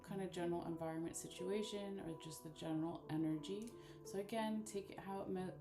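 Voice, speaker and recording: A soft-voiced woman with a slightly low pitch talks gently and unhurriedly through a live-streamed divination reading, sprinkling in plenty of "um" and "okay." She sounds like she's in an echoey room, with loud guitar music playing behind her. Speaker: bones and charms reader.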